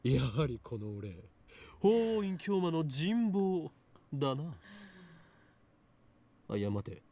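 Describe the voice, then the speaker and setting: Pompous young mad scientist. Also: male voice, a little high, sing-song, measured pace, warm tone, full character voice. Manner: pompous, theatrical. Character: grandiose self-styled mad scientist, young man. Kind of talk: Japanese anime game voice acting.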